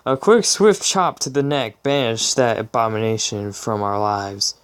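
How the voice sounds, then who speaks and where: A man's voice: fairly low pitch, unhurried, clear, resonant tone. A man hosting a casual comedic fan fiction reading, informal and amused, stumbling over his words as he goes. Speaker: channel host.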